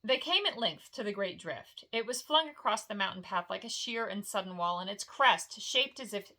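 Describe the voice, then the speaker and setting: Bright-voiced woman reading a story. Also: medium pitch, lively intonation, normal speed. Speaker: storyteller reading a novel aloud. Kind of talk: bedtime story narration, expressive reading.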